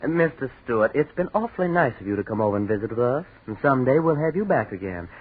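Husky, cocky fraternity man, old radio. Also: mid-range voice, lively intonation, quick pace. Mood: falsely polite. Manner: sarcastic, dismissive, slangy. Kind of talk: 1940s radio acting. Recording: muffled, tinny 1940s radio recording.

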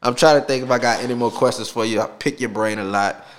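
Male podcast host, mid-twenties, New Orleans accent, casual slangy chat, hypes up his guest, medium pitch. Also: fast talker. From New Orleans, USA.

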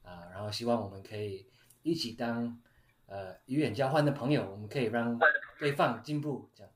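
A man in his thirties from California, talking in a relaxed, soft-spoken way in a casual group chat. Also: mid-range voice, brisk, slight background noise. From California, United States. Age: 30s. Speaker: podcast host. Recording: roomy echo.

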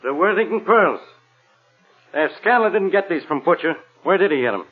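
An American actor playing an exasperated, easily flustered police inspector in a 1940s radio drama. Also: male voice, high-pitched, lively intonation, normal speed, breathy edge. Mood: incredulous, exasperated. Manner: blustering, incredulous, sputtering. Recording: muffled vintage radio recording.

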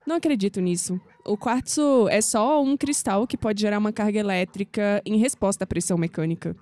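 Woman acting matter-of-fact young Brazilian scientist, mellow voice. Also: medium pitch, fairly quick, no echo, close to an everyday voice. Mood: sceptical. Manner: matter-of-fact, dismissive of mysticism. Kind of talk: audio drama acting.

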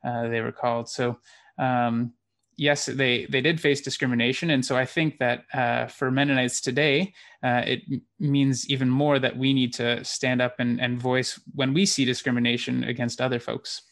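A man's voice: mid-range voice, level tone, normal speed, fairly bright tone. A man with a Canadian accent speaking as an interview guest, explaining things somewhat hesitantly. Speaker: interview guest, theatre producer and actor. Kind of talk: interview conversation.

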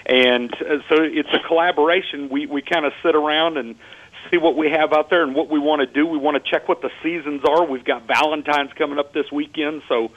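A man's voice: fairly high pitch, fairly even intonation, fairly quick. A man from Texas with a Texan accent, a guest chef chatting on a radio show in a folksy, joking way. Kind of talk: casual radio chat. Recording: slightly dull lo-fi sound.

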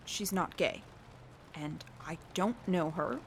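A woman's voice: on the low side, slow, a little hiss or hum. An actress playing an earnest, lonely, self-deprecating young woman in an audio drama. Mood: wistful. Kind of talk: audio drama acting, confessional monologue and dialogue.

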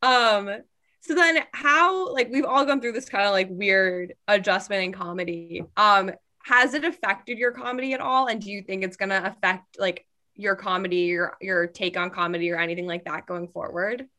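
A woman's voice: medium pitch, normal speed, bright, forceful tone. A woman co-hosting a podcast, asking hesitant, filler-laden questions. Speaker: podcast co-host. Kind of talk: casual interview questions.

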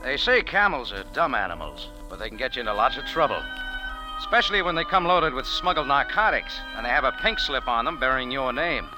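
A man's voice: medium pitch, big pitch swings, a little fast, natural voice, rough recording. A man playing a tough, wry cafe owner and sleuth in a 1940s radio drama, speaking in a clipped, sardonic, hard-boiled way. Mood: wry, grim. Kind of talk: 1940s radio detective drama acting and narration. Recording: vintage 1940s radio recording with music.

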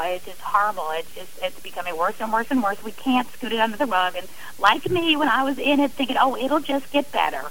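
A woman's voice: a little high, a bit bright, a little hiss or hum, rough recording. A middle-aged woman speaking as an interview guest, earnest and emphatic in a conversational way. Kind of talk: personal account in a radio interview.

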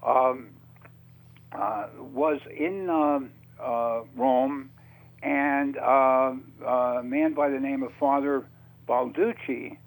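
A man at a middle pitch talks as a guest in a radio interview. He speaks off the cuff and haltingly, groping for words and repeating himself. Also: steady tone, vocal fry, rough recording. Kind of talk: unscripted radio interview talk.

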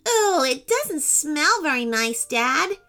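A woman's voice: high-pitched, very animated, slow, pushed and bright, voice pushed up, heavily acted. A woman playing an eager, clever young girl in a children's story, earnest and childlike. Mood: worried.

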